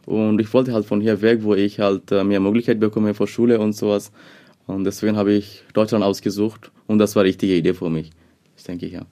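A young man from Bangladesh, a second-language speaker, answering interview questions haltingly with many fillers. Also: lowish voice, flat delivery, mellow. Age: young adult.

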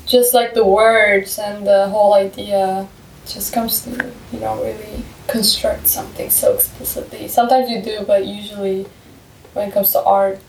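A woman's voice: mid-range voice, flat delivery, moderate pace, a little hiss or hum. A woman chatting casually on a podcast, rambling and using lots of fillers. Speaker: podcast co-host. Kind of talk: casual discussion. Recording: echoey room, far from the mic.

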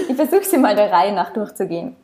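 A woman's voice: on the high side, dark tone. A woman from Austria hosting a podcast, introducing her guest with upbeat enthusiasm. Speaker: podcast host. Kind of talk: podcast interview.

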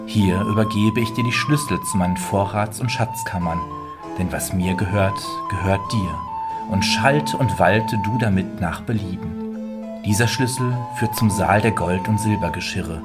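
A man playing a stern, commanding rich husband and villain in a fairy tale, speaking formally and deliberately. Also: low-pitched. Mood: formal, composed. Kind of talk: dramatic fairy-tale reading. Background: music.

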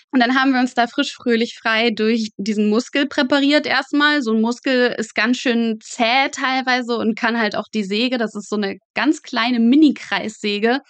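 A woman's voice: fairly high pitch, a bit bright, dry recording. A woman in her twenties, a student guest in an interview, telling anecdotes in a humorous, vivid, colloquial way. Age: 20s. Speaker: guest, medical student working in a pathology practice. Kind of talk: lively interview storytelling.

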